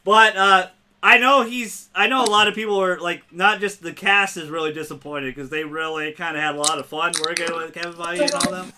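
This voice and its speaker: A man hosting a casual gaming podcast, excitable and quick to laugh. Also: sits high. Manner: excitable, laughs often, talks fast in bursts. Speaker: podcast host. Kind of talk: casual chat.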